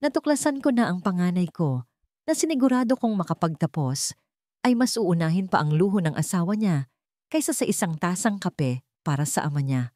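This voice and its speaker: A woman's voice: lowish voice, lively intonation, a little fast, mellow, no echo, studio quality, natural voice. A woman voicing a betrayed elderly widowed father in an audio story, speaking solemnly, with emotion and emphasis. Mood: hurt, reproachful. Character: betrayed elderly widowed father, dignified, wounded but resolute. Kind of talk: dramatic audio-story dialogue.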